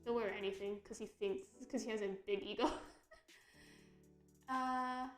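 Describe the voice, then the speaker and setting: A woman's voice: mid-range voice, fairly even intonation, a little slow. A young woman, a comic artist, chatting casually on a livestream while she draws, reading out viewer questions and laughing often in a self-deprecating way. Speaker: webcomic artist answering chat questions. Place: home room while drawing. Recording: echoey room with music behind.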